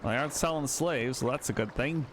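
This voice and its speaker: A man's voice: medium pitch, fast talker, a little hiss or hum. A man hosting a let's play, chatting casually and self-deprecatingly as he plays. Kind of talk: casual gameplay commentary.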